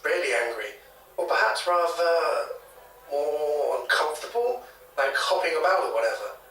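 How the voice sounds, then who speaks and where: Hesitant British local man, chatty and hedging, slightly high bright voice, audio drama interview. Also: very animated, normal speed, far from the mic. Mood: uneasy, earnest. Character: hesitant, gossipy local man retelling a secondhand ghost story. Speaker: interviewee, former hotel worker. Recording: echoey taped interview, off mic.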